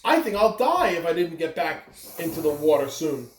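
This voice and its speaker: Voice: medium pitch, big pitch swings, moderate pace, far from the mic, close to an everyday voice. Reader voicing an earnest storybook whale, echoey. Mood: desperate, pleading. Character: big-hearted, friendly whale in a children's storybook. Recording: roomy echo, clear background noise.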